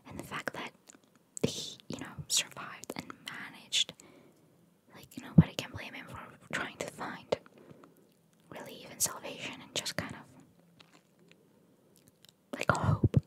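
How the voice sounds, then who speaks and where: A woman's voice: a little slow, long pauses. A woman whispering ASMR-style as she reads out trivia and adds informal, musing asides. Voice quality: soft, unvoiced whisper. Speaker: ASMR content creator. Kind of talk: whispered ASMR reading of trivia with casual commentary.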